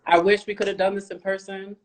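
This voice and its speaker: A woman's voice: mid-range voice, flat delivery, fast. A woman hosting a casual interview podcast, talking informally with slang. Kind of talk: casual chat.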